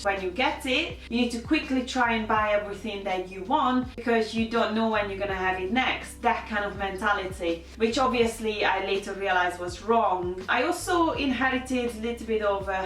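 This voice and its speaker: A woman's voice: mid-range voice, a bit bright. A woman in her thirties from Hungary with a Hungarian accent, candidly telling her life story as a vlogger with many fillers and restarts. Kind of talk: personal life story to camera. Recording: roomy sound, mic at a distance, faint music.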